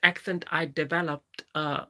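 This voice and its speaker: A woman's voice: low voice, little pitch movement, unhurried, pushed and bright. A woman in her thirties with a Pakistani English accent, chatting casually on a live stream and answering viewers by name. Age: 30s. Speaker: livestream host. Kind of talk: casual live chat reading viewer comments.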